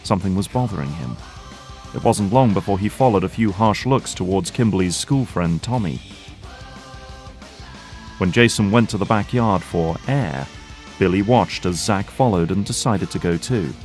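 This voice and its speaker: A man's voice: deep voice, animated, moderate pace, darkish tone, soft background music. A man reading scripted narration as the narrator of an audio drama.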